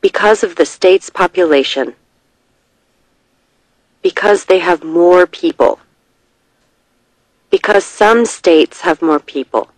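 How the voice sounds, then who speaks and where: A narrator reading scripted test questions and short answers in a measured, deliberate way. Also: medium pitch, no room sound, rough recording.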